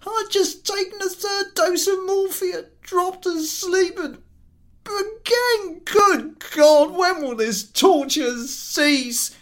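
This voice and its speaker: A man's voice: very high voice, takes it slowly, fairly bright tone, voice pushed up, exaggerated voice. A man voicing a frail, tormented, self-pitying sick passenger in a storybook reading, pleading and gasping melodramatically. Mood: gasping despair.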